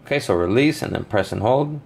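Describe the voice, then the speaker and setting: A man hosting a tech tutorial, calmly explaining each step of a device reset with frequent fillers, recorded at a desk. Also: medium pitch, brisk, creaky, slightly rough. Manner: relaxed, patient, filler-heavy explaining. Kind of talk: step-by-step how-to walkthrough.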